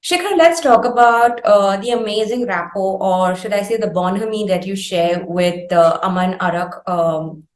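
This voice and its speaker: A woman with an Indian accent speaks at an average pitch in a roomy, echoey space. She is an interviewer, phrasing her questions formally and building them in careful, halting pieces. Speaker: interviewer, host. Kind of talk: conversational interview.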